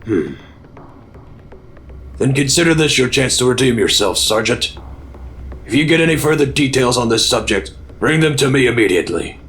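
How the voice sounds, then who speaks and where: An actor playing a stern, skeptical senior military commander, formal and authoritative, in an audio drama. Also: male voice, mid-range voice, animated, normal speed, long pauses, fairly bright tone, slight background noise, close to an everyday voice.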